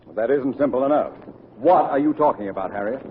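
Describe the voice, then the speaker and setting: An actor playing a pompous, long-winded middle-aged high school principal in a vintage radio sitcom. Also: male voice, animated, fast talker, narrow, tinny audio, close to an everyday voice. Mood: exasperated. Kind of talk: 1940s-50s radio sitcom acting. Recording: vintage radio recording, hiss, studio audience.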